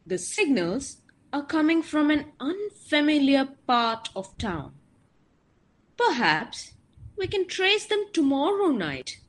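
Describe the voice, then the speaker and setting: A woman playing a determined, vigilant weapon maker in an audio drama, speaking deliberately and emphatically. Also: high voice, very animated, exaggerated voice. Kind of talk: amateur audio drama monologue.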